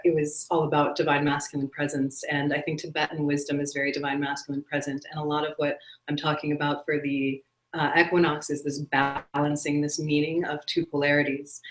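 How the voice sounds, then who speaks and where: A woman hosting a live spiritual broadcast, soft-spoken and dreamy, with many fillers. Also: low-pitched. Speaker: host. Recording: echoey room.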